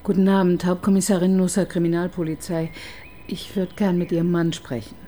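An actress playing a sharp, sardonic homicide detective in a radio crime drama, brisk, dry and probing. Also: female voice, fairly low pitch, little pitch movement, a little hiss or hum, close to an everyday voice. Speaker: detective chief inspector.

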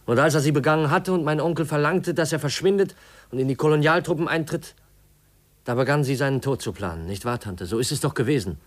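An actor playing an earnest, troubled young nephew in a 1950s German radio play, speaking formally and haltingly. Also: male voice, fairly high pitch, lively intonation, lightly acted. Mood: grave, accusing. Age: young adult. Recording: 1950s radio drama recording.

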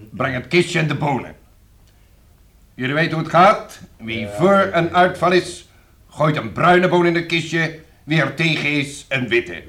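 A man's voice: fairly high pitch, a bit bright. A man playing a fatherly presiding guild head in a Dutch radio play, formal and slightly condescending. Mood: businesslike.

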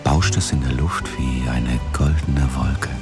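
Very deep, slow, brooding colonist. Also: male voice. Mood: enraptured. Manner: slow, deliberate, intimate first-person telling. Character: brooding male Mars colonist lost in a drug trip. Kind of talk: radio drama inner monologue. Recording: loud music behind the voice. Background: loud music.

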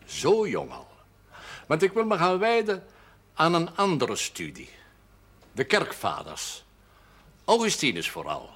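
Husky, formal elderly parish priest. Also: male voice, on the high side, huge pitch swings, fairly bright tone, full character voice. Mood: dry, reserved. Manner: measured, formal speech sprinkled with French phrases. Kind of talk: 1970s television drama acting.

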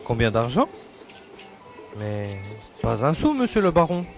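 A man's voice: mid-range voice, huge pitch swings, measured pace, creaky, faint music behind, heavily acted. An actor playing a simple, deferential village policeman in an audio drama, earnest, literal and repetitive. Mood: earnest, naive.

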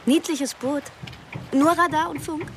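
A high-voiced, brisk, teasing woman. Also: steady tone, heavy background noise. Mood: brisk, curious. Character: brisk, teasing, self-assured woman on a diving trip. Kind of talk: radio drama acting, naturalistic dialogue.

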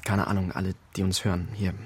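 A nervous young violin student. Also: male voice, low-pitched, little pitch movement, quick pace, breathy edge. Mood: uneasy. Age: young adult. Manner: hesitant, stammering, nervous. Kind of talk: radio crime drama acting.

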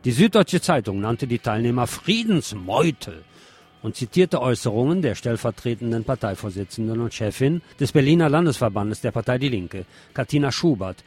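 A man's voice: medium pitch, a little mellow, breathy edge, no echo. A man presenting on the radio, reading scripted news and adding commentary.

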